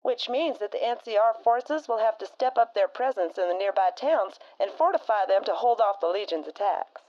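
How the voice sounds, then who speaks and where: A woman's voice: medium pitch, lively intonation, moderate pace, darkish tone, lo-fi sound, natural voice. A woman playing a blunt, folksy frontier woman who talks with a drawl and slang as a radio co-host in a fan audio drama.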